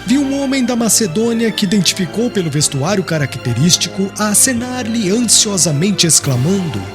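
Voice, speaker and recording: A man's voice: high voice, big pitch swings, moderate pace, over music. A man narrating an audiobook in a solemn, literary style.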